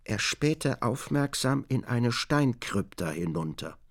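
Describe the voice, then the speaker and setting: A man narrating a German radio drama in a measured, observant literary style. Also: medium pitch, animated.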